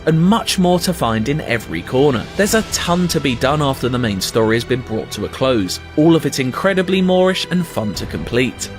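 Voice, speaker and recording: A man delivers a scripted game review in a slightly high voice. His pitch moves with lots of variety from phrase to phrase, and music plays softly underneath him.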